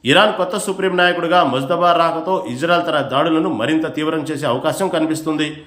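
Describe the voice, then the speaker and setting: A man's voice: a little high, a little fast, a bit bright, reverberant. A man reading scripted news narration in a formal, emphatic manner.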